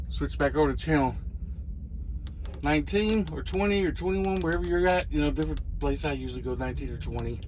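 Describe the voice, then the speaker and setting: A man's voice: on the high side, a bit bright. A middle-aged American man, a truck driver, giving a casual hands-on tutorial in a plain, folksy way. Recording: clearly noisy vehicle cab.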